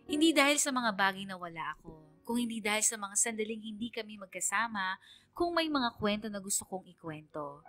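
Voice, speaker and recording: Lonely student girl, wistful, confiding, radio drama narration, medium pitch, soft music behind. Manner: plaintive, confiding. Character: lonely, wistful female student longing for her mother. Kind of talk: dramatised first-person letter narration.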